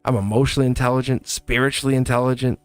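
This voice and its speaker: A man's voice: medium pitch, fairly even intonation, very fast, clean tone, soft background music. A young man doing a casual, upbeat live tarot reading, talking to viewers in a chatty way and laughing often. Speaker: tarot reader.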